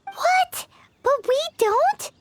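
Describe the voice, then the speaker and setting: A woman voicing a tiny, naive, chatty childlike companion creature in a video game, with a squeaky voice. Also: expressive, very slow, very noisy, full character voice. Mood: perky, confused.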